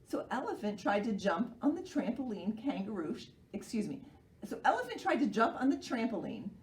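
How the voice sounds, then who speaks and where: Echoey, animated woman reading to children. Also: a little high, a little fast, slight background noise. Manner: expressive, questioning, didactic. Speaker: children's library storytime reader. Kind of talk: children's storytime narration, addressing young listeners. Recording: roomy echo, far from the mic.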